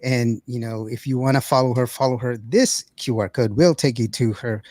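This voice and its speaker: A raspy-voiced man hosting a podcast in a casual, rambling way, often repeating words as he goes. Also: mid-range voice, moderate pace, a little mellow, no room sound, studio quality. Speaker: podcast host. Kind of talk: casual chat.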